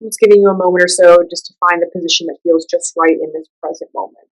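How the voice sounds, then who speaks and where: A woman with a slightly low-pitched voice guides a meditation. She speaks in a soothing, conversational manner, offering gentle instructions and leaving the listener room to follow without hurry. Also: fairly even intonation, fast, rough recording.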